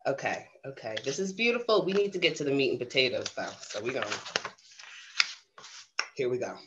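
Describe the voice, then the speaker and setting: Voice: medium pitch, very animated, fairly quick, muffled recording, heavy background noise. A woman reading a children's storybook casually, with playful, theatrical asides to the audience. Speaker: drag storytime guest reader. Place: video stream in front of a green screen.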